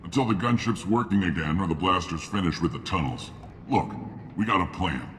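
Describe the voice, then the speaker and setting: An actor playing a gruff, cynical male mercenary sergeant and mechanic in a video game, with a curt, dismissive manner. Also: sits low, expressive, brisk, a bit bright. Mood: matter-of-fact. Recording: clear background noise.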